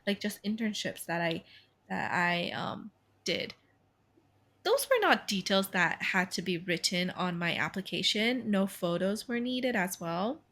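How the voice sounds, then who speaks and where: A woman's voice: medium pitch, animated, unhurried, fairly bright tone. A woman in her twenties from Taiwan with a North American accent, chatting casually as a podcast co-host, full of fillers and quoted asides. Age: 20s. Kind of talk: casual chat.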